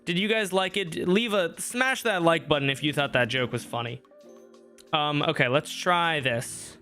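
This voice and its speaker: Bright-voiced young man streaming a game. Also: fairly high pitch, normal speed, soft background music. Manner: rambling, self-joking, thinks aloud. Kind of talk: casual live-stream gameplay talk. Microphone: streaming microphone.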